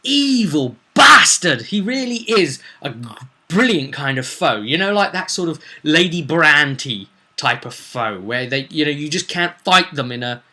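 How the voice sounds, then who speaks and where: Bright-voiced, dramatic man telling stories. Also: on the high side, expressive, a little slow. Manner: dramatic, emphatic, with rhetorical questions. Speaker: game master recounting a session. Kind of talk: animated vlog storytelling.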